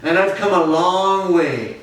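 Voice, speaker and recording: A man's voice: high-pitched, slow, fairly bright tone. A man from southern Ontario with a Canadian accent, a touring singer chatting, joking and singing for a small live audience. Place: retirement home performance room. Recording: very echoey room, distant mic, music behind. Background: acoustic guitar music.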